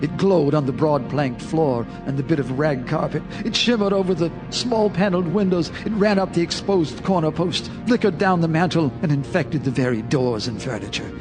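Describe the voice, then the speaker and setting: An actor playing an old American farmer, bitter and unhinged, telling of horrors in a folksy, intense audio drama style. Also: male voice, on the high side, normal speed, hardly any pauses, over music, close to an everyday voice, poor audio. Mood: urgent dread. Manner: folksy, intense storytelling with theatrical swells.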